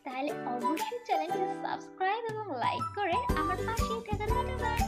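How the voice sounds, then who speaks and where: A woman's voice: very high voice, animated, brisk. A woman telling a scripted children's story as the narrator. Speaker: storyteller and channel host. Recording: music playing underneath.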